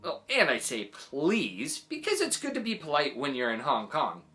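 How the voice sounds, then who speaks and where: A woman reading a children's story aloud in expressive, acted-out narration. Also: low voice, moderate pace.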